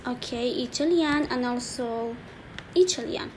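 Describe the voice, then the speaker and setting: A woman's voice: fairly high pitch, poor audio. Woman teacher going over words one by one, lots of okay, faint hiss and typing behind. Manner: slow, repetitive, saying each word twice with frequent "okay". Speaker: English teacher. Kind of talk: slow screen-recorded language lesson.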